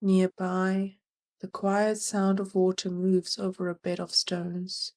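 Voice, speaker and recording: A woman narrating a guided meditation as its guide, gentle and soothing, leaving long pauses between phrases. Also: fairly low pitch, flat delivery.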